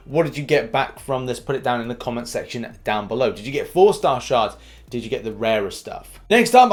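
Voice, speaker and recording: A British man hosting an upbeat gaming news update, informal and cheeky, punching the starts of his phrases. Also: on the high side. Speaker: gaming news YouTuber and host.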